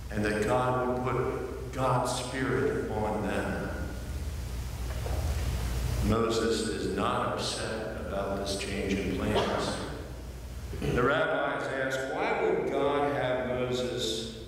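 An older male pastor preaches at an unhurried pace, telling his story with playful asides and lively quoted voices. His voice rings through a large church sanctuary, picked up from a distance with a long, roomy echo and a faint hiss in the background. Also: mid-range voice. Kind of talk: sermon. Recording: echoey hall from far off.

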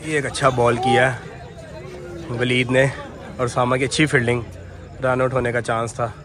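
Man calmly calling an amateur cricket match. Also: medium pitch, moderate pace. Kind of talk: live ball-by-ball commentary. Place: outdoor floodlit cricket ground, netted. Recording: crowd noise from an outdoor ground. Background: players and crowd chatter.

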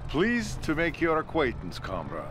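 A man's voice: on the high side, huge pitch swings, normal speed. A male actor playing a world-weary, middle-aged Soviet army major in a war game, reflective in manner. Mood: approving. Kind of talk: military video game cutscene acting. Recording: outdoor noise behind the voice.

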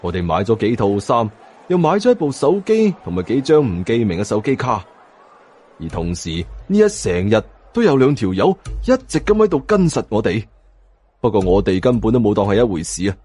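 A man's voice: lowish voice, sing-song, fairly quick, mellow, husky. A man with a Hong Kong Cantonese accent narrates a story in colloquial first person, acting out the dialogue as he goes. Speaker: audiobook narrator.

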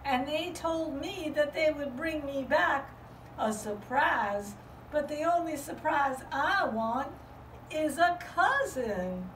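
A woman's voice: high-pitched, lively intonation, fairly bright tone, exaggerated voice. Woman doing a lonely little cow. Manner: slow, plaintive, mooing between lines. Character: lonely young cow toy, wistful. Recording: roomy, from far off, faint hum.

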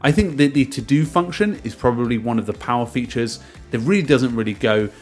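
A man narrates a software walkthrough in a casual, explanatory way, with a mid-range voice. He leans into the start of each sentence and lets the endings drop away, while music plays behind him. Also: medium pitch, fairly quick. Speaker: software reviewer and presenter. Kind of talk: casual narrated screen-share software review. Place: voice-over to a screen recording.

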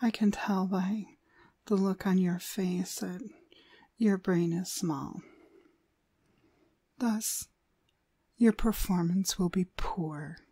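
A woman's voice: fairly low pitch, dark tone, slightly rough, dry recording. A woman playing a cruel, domineering demonic dog trainer in an ASMR roleplay, contemptuous and commanding in her delivery. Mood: hushed contempt. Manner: contemptuous, commanding, slow and deliberate. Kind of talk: ASMR roleplay, frequent breathy whispering.

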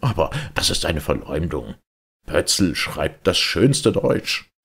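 A man playing an ironic humorist orator, delivering a theatrical, mock-earnest formal speech with dramatic emphasis in a husky, gravelly voice. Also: very deep voice, big pitch swings, slow, fairly bright tone, exaggerated voice. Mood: ironic, emphatic. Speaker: reader. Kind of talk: theatrical literary speech reading.

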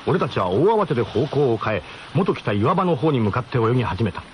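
A man's voice: medium pitch, very animated, fairly quick, slight background noise. A man narrating a radio drama in the first person, with a literary storytelling delivery. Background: music.

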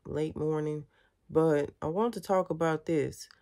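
A woman's voice: low-pitched, measured pace, dark tone. A woman in her thirties with a stuffy, congested voice, speaking earnestly to her viewers from her bedroom in a casual spiritual vlog. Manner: earnest, addresses viewers as y'all. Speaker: spiritual vlogger. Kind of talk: casual spiritual talk to camera. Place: bedroom indoors.

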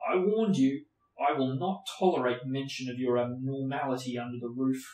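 A man voicing a blustering, bad-tempered middle-aged uncle, slow and bellowing, in a roomy space. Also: mid-range voice, lightly acted. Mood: angry. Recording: roomy echo.

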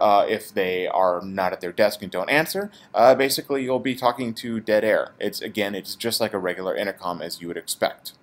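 Male tech support presenter, lowish pitch, informal step-by-step demonstration style. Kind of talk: product tutorial demonstration.

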